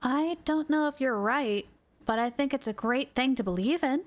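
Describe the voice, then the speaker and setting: A woman's voice: high-pitched, moderate pace. A woman game streamer giving casual live commentary, playfully reacting aloud to what happens. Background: game music.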